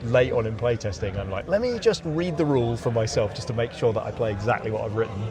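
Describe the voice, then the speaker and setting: A man with an average-pitched voice gives a relaxed, informal interview as a game designer. He is recorded outdoors in a courtyard, with plenty of people chattering behind him. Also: fast talker. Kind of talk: casual chat. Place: outdoors in a courtyard at a gaming event. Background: crowd chatter.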